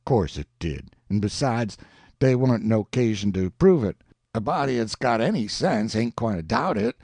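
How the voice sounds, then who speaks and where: Guy putting on a low scared pleading fella, thick country dialect, loud and shaky, dry close sound. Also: lively intonation, moderate pace, no echo. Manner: pleading, distressed, heavy rural dialect. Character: frightened superstitious grown man, pleading.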